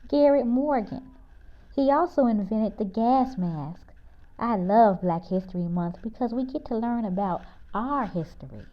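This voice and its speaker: A woman's voice: lively intonation, a little slow. Woman playing a know-it-all schoolboy, muffled recording. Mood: boastful, keen. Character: keen schoolboy, know-it-all older brother. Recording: muffled, low-fidelity audio.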